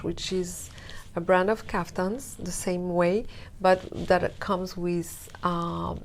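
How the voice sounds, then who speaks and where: Slow-spoken woman from Morocco. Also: fairly low pitch. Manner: slow and deliberate, drawn-out phrases with soft breathy trailing words. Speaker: guest, wellness brand founder. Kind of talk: slow, soft-spoken interview talk.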